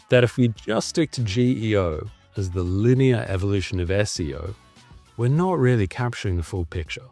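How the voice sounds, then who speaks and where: A man's voice: on the low side, a little mellow, no echo. A man with an Australian accent, a guest on a podcast, explaining fluently in a casual conversation over a video call.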